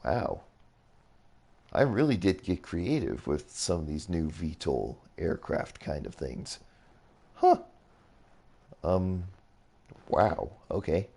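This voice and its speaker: A young man casually rambling through a game tour as a streamer, his voice a little raspy, often reacting with surprise. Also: sits low, very animated, slow pace, long pauses, dark tone. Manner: rambling, easily impressed, mild swearing. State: slightly raspy voice. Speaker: gaming streamer. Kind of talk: casual game commentary while touring builds.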